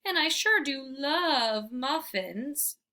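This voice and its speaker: A woman voicing an excitable, food-mad young dog in a storybook, exclamatory and dramatic. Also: high voice, slow pace, a little hiss or hum, voice pushed up, heavily acted. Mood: whining, pleading. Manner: exclamatory, eager, pleading when whining.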